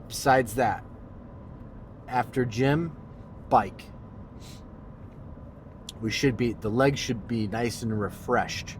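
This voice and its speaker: A man's voice: medium pitch, slow, long pauses, a little hiss or hum. A man with a congested, nasal voice, chatting in a joking, boastful way as a fitness vlogger while driving. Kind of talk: casual vlog while driving. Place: car.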